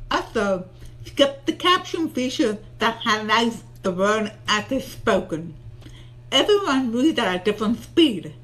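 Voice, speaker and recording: A woman with a deaf accent presenting a short recorded tip video, speaking slowly and deliberately. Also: fairly high pitch, rough recording. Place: home room with shelves.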